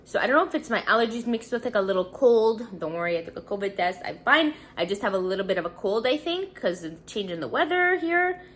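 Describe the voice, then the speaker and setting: A woman's voice: medium pitch, expressive, quick pace, hardly any pauses, a bit bright. A woman around thirty from Montreal, congested and sniffling, chatting casually and rambling with many fillers while doing her makeup. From Montreal, Canada. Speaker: beauty vlogger. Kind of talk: casual get-ready-with-me chat.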